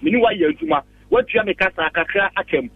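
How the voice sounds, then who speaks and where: A Ghanaian caller argues his case on a radio phone-in, repeating himself and stumbling through the point. His voice is very high and lively, squeezed through a dry, tinny phone line from some distance. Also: gravelly, husky, no echo. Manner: argumentative, halting, repeats himself, mixes Twi and English. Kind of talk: heated opinion on a radio call-in.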